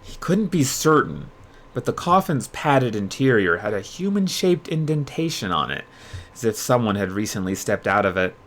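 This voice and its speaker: A man hosting a livestream readalong, moving between expressive storybook narration and casual chat with viewers. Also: fairly low pitch, normal speed. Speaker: streamer host reading aloud.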